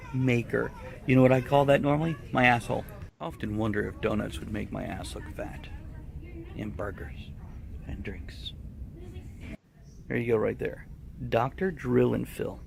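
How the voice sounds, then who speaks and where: Gravelly wisecracking man over clear background noise. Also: mid-range voice, moderate pace, pauses often. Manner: crude, wisecracking. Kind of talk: casual joking commentary on products. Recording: noisy background ambience.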